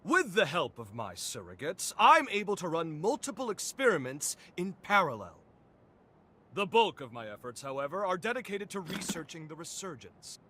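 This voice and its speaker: An actor voicing a pompous, eccentric scientist in a video game, with florid, condescending, theatrically polite speech. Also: male voice, a little high, bright, forceful tone, husky, full character voice. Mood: smug, pleased. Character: eccentric, self-important revenant scientist, a theatrical lecturer. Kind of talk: video game cutscene acting.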